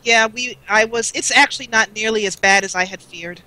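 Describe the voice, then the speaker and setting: Bright-voiced woman chatting as a livestream guest. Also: fairly high pitch, brisk, low-quality recording. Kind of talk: casual chat.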